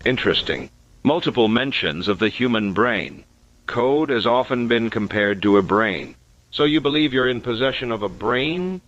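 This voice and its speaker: A man playing a methodical, sceptical investigator who records case notes in a measured, analytical way, as scripted analog horror narration. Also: medium pitch, animated, a bit bright, no room sound, close to an everyday voice. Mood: thoughtful, analytical. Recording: dull lo-fi sound, faint noise.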